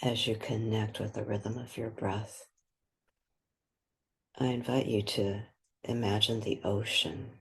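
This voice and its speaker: A woman leading a guided meditation, speaking gently and unhurriedly, with long pauses between short phrases. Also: very deep voice, level tone, breathy edge.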